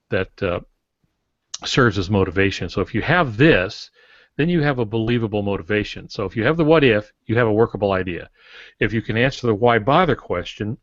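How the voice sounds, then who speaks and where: An older man with a lowish voice explains things deliberately, like a teacher on a podcast. The sound is dull and narrow, with a dry edge to none of it. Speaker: podcast co-host and novelist. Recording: dull, roomy lo-fi audio.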